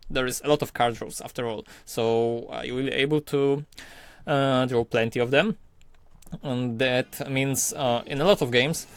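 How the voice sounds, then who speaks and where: Methodical coach, dry close sound. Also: male voice, mid-range voice, fairly bright tone, smooth, no echo. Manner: methodical, hesitant with many fillers. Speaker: card game coach. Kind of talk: explaining game strategy.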